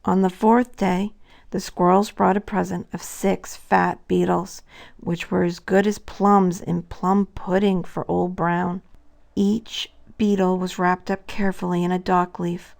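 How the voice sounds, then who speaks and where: A woman's voice: fairly low pitch, little pitch movement, measured pace, gravelly, darkish tone. A woman narrating a scripted children's story as a storybook reader.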